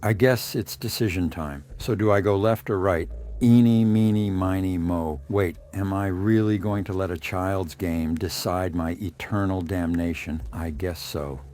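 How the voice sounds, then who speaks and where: A man's voice: deep voice, steady tone, measured pace, hardly any pauses, darkish tone. A man playing a confused, frightened but defiant dead man who questions and mutters to himself in a horror audio drama. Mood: uncertain, musing.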